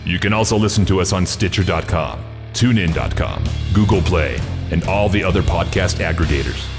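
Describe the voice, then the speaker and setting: A man's voice: low voice, brisk. A man reading a scripted promo as a podcast announcer. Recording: long reverb, music behind.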